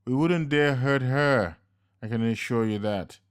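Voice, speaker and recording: A man voicing a slickly dressed, coolly polite and menacing henchman, speaking slow, measured and smug. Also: medium pitch, clear, resonant tone, voice pushed up, natural voice. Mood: smooth.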